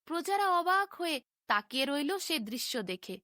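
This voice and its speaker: High-pitched, animated woman storyteller. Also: normal speed, no echo, clean studio sound. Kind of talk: scripted bedtime fairy-tale narration.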